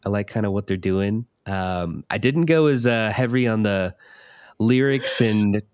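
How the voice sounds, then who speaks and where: A man's voice: lowish voice, a little slow, darkish tone, clean tone, no room sound, clean studio sound. A man co-hosting a casual music podcast, speaking in a laid-back, hesitant way. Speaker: co-host.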